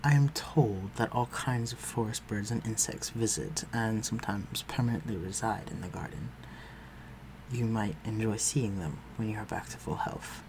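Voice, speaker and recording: A man's voice: lowish voice, normal speed, lightly acted. A man playing a protective, courtly vampire lord in an audio roleplay, speaking formally and tenderly to his human listener. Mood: calm, caring. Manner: formal, old-fashioned, tender and reassuring. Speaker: voice actor. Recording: clearly noisy, ambient sound behind voice.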